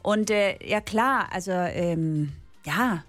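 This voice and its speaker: Danish-accented woman, lively and laughing. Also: on the low side, takes it slowly, soft background music. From Denmark. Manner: lively, laughs often, hesitant fillers. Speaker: guest musician and actress. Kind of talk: radio interview chat.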